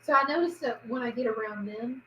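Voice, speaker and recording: A woman's voice: fairly high pitch, fairly quick, bright, forceful tone. Easygoing Texan woman as a guest. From Houston, Texas. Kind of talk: casual livestream chat. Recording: echoey room with faint hum.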